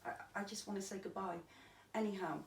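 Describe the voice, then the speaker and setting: A woman with a Welsh accent and a croaky, gravelly voice, telling her own story plainly in an interview. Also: medium pitch, steady tone, brisk. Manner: plain, matter-of-fact telling with restarts. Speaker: interviewee recounting a stabbing. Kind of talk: candid personal testimony. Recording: echoey room, distant mic, faint hiss.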